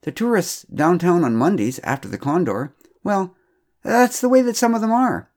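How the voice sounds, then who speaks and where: A man's voice: high-pitched, sing-song, moderate pace, a little mellow, pitched above the performer's own voice. A man voicing a shaken, stammering woman. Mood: upset, pleading. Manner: stammering, tearful.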